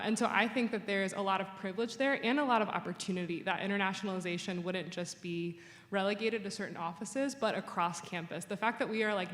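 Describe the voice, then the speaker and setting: Woman on a panel in an echoey hall. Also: medium pitch. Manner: earnest, filler-laden. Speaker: international student staff. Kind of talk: panel remarks. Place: conference hall.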